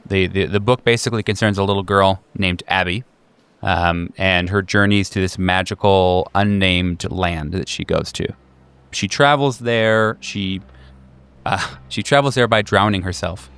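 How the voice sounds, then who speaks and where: A man narrating an improvised tabletop game as game master, in a conversational, halting way with repeated words. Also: deep voice, fairly bright tone, smooth.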